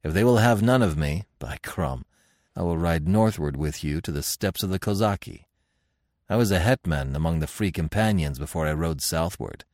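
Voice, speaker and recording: A man playing a fierce, proud barbarian warrior chief in an audiobook adventure, gruff, growling and sardonic. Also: low voice, lively intonation, normal speed, a little mellow, no echo, clean studio sound. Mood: bold, demanding.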